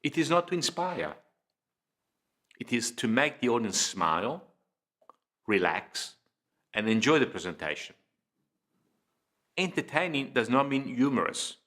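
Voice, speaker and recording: A man presenting an instructional lesson in a measured, deliberate way, pausing between phrases and stressing key words. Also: mid-range voice, sing-song, fairly bright tone. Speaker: presenter of public speaking training video. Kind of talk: informative instructional lecture, scripted delivery.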